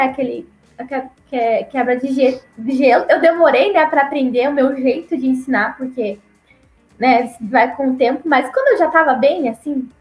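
High-voiced woman, chatty. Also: reverberant, low-quality recording. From Paraná, southern Brazil. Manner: chatty, laughs often, acts out quoted locals in a higher voice. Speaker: guest, former missionary. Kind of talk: casual conversational storytelling.

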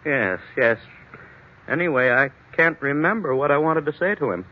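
A man's voice: a little high, expressive, moderate pace. A male actor playing a confused, forgetful, apologetic husband in an old-time radio mystery, speaking in a hesitant, faltering way. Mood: dazed. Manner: hesitant, faltering, repeats himself. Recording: tinny, muffled old radio broadcast.

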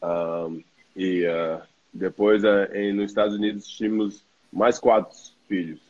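A man's voice: fairly low pitch, flat delivery, measured pace, a little mellow. A man from New York with an American accent, chatting casually in hesitant, slightly broken phrasing. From New York, United States. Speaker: guest, basketball player. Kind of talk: casual chat. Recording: dull, lo-fi call audio.

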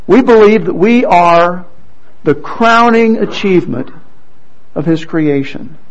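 A man preaching in a slow, deliberate way, with a gravelly edge to his voice. He reads scripture earnestly and often pauses to restart his phrasing. The recording is dull and lo-fi. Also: high-pitched. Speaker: pastor. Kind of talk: sermon with scripture reading.

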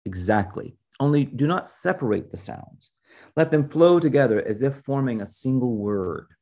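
Man reading as a measured, gravelly wise teacher. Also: mid-range voice, animated, mellow, voice pushed up. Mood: patient, instructive. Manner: measured, formal, instructive. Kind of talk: storybook reading.

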